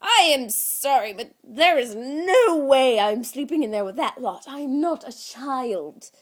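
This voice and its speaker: A woman's voice: high-pitched, expressive, measured pace, voice pushed up. Woman voicing a pompous, sarcastic little trickster god. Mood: outraged. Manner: haughty, formal wording, indignant.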